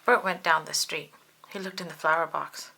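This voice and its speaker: A woman narrating a children's storybook aloud in an expressive way, made for acting out the characters. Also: low voice, moderate pace, gravelly, rough recording. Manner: animated, acts out character dialogue.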